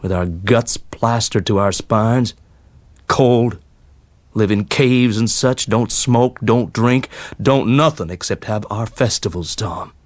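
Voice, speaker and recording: A man voicing a rough, folksy fellow, opinionated and bitter but kindly, in colloquial rustic speech. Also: lowish voice, slow, no echo. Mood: bitter, philosophical.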